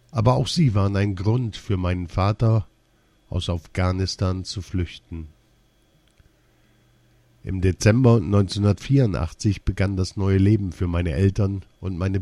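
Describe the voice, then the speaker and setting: Slow, deep-voiced man reading calmly. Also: no echo. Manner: slow, measured, deliberate reading. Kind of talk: reading a first-person essay aloud.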